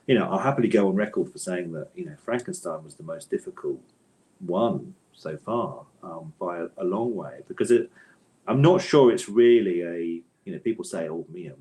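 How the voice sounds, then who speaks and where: A man with a notably low voice answers interview questions as an author. He speaks conversationally and thoughtfully, hesitating and doubling back to reword his points as he goes. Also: a little mellow, low-quality recording. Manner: thoughtful, hesitant, hedging and circling back to rephrase.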